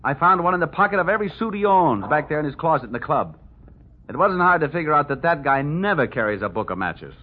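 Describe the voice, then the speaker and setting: A man's voice: a little high, sing-song, fast, slight background noise. An actor playing a wisecracking male insurance investigator who narrates, in 1940s radio drama style, dry and hard-boiled. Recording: muffled old radio broadcast with music.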